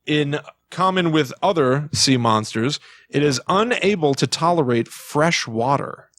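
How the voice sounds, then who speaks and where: A man co-hosting a podcast, explaining things in a casual, halting way as he thinks aloud. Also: a little high, lively intonation, fairly bright tone, dry recording, studio quality. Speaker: podcast co-host.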